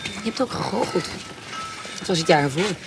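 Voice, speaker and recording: A woman's voice: lowish voice, animated, moderate pace, creaky, music in the background. An actress in a radio play as a determined, persistent noblewoman. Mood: insistent. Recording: noisy ambience around the voice.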